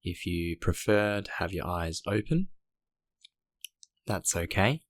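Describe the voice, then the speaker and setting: A man guiding a meditation in a gentle, unhurried way, speaking in short phrases with long pauses. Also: sits low, expressive, dry recording. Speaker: meditation guide. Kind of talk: guided meditation narration.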